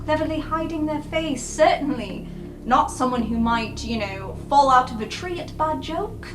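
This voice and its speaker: A woman playing a tabletop role-playing game, improvising narration and dialogue in halting, thoughtful phrases. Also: high-pitched, expressive. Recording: roomy, distant mic under prominent music.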